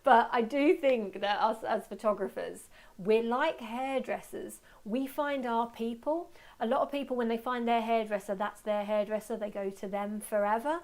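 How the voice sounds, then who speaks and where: A woman giving an informal live webinar as a workshop presenter, chatting away in an excitable, self-deprecating manner and taking audience questions. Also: a little high, moderate pace. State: voice slightly going from talking.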